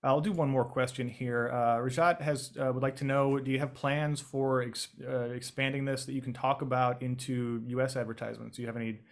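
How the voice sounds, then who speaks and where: A man from Michigan with a Michigan accent, hosting a casual video call and reading audience questions, chatty and quick to laugh. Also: medium pitch, level tone. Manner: chatty, laughing often, repeats words.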